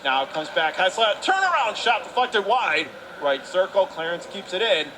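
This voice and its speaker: Male sports announcer, high-pitched, clipped and excitable hockey calls, light arena noise in the background. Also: normal speed. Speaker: radio play-by-play announcer. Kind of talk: live ice hockey play-by-play commentary. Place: commentary position at an indoor ice rink.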